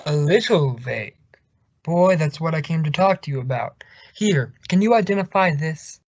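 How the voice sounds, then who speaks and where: A man's voice: on the high side, expressive, normal speed, no room sound. An actor playing a blustery, sardonic older male boss in an old radio comedy. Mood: sarcastic. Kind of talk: 1950s radio sitcom acting. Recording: dull vintage radio recording.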